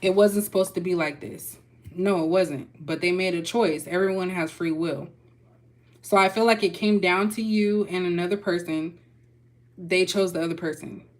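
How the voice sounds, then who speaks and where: Casual young female reader with a Texas twang, low-pitched, folksy and loose. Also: moderate pace. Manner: chatty, loose, folksy with y'all. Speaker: tarot reader. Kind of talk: casual spiritual reading.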